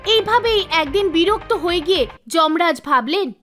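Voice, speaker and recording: A woman narrator reading a scripted animated story for children. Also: very high voice, moderate pace, soft background music.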